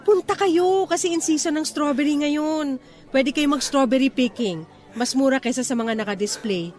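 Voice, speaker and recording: An actress playing a cheerful, sociable office woman meeting her high school sweetheart in a Filipino radio drama, with a Filipino accent. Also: moderate pace, a little mellow, close to an everyday voice. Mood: chatty, relaxed.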